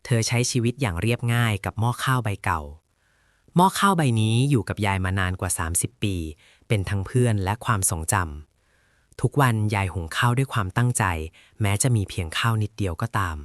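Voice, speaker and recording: A male storyteller reading a children's folktale from a script. His voice is fairly low, and the recording is close and dry, with no room sound. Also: lively intonation, fairly quick, dry recording.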